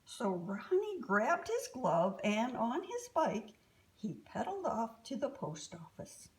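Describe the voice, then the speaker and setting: Slow, animated woman reading a storybook. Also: fairly high pitch, rough recording. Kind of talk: expressive children's storybook narration.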